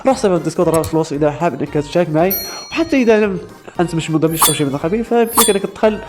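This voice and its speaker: Moroccan guy doing a casual video intro, talks fast, music playing behind him. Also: fairly high pitch, animated. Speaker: host of a fan dubbing channel.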